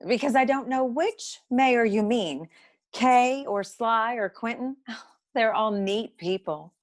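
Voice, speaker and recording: An actress playing a brisk, know-it-all detective agency secretary in a noir comedy audio play, curt and dryly superior. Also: female voice, fairly high pitch. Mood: breezy.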